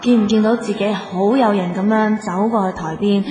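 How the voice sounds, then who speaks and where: A woman's voice: mid-range voice, steady tone, mellow, soft background music, lightly acted. A woman playing a bossy, scolding young woman in a radio comedy drama, with a Hong Kong Cantonese accent and an emphatic, nagging delivery. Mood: coaxing, hypnotic. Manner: emphatic, nagging, drawn-out sentence particles. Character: bossy, scolding young woman working as a strict driver-assistant.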